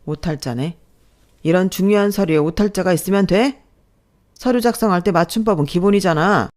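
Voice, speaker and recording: A woman's voice: on the low side, expressive, normal speed, a little mellow, close to an everyday voice. A woman voicing a harsh office manager who insults and berates his staff, with a scolding, sneering manner. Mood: contemptuous scolding.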